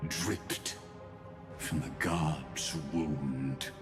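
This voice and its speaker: A sinister game mastermind with a very deep voice. He speaks extremely slowly and deliberately, savoring grandiose pronouncements with theatrical patience. Prominent music plays behind him. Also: steady tone, heavily acted. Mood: solemn, reverent. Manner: slow, deliberate, theatrical delivery. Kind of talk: scripted video game voice acting. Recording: voice over loud background music.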